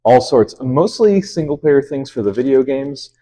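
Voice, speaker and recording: A man who teaches math, answering interview questions in a relaxed, friendly way with many fillers. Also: mid-range voice, moderate pace, a little hiss or hum. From Lebanon.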